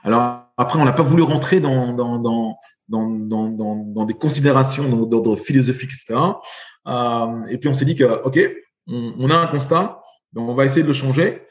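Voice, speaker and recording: A man in his forties, raised in Belgium, speaks as a podcast guest at a middle pitch, halting, with constant fillers and restarts. His voice echoes around the room and the sound is somewhat dull. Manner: halting, many fillers and repeated words. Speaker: guest, investor. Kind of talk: podcast interview.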